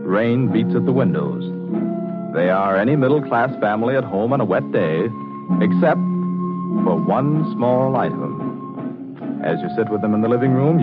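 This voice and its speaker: Tinny 1940s radio announcer, man, over music. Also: medium pitch. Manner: measured, dramatic, formal delivery. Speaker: announcer and narrator. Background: loud dramatic music.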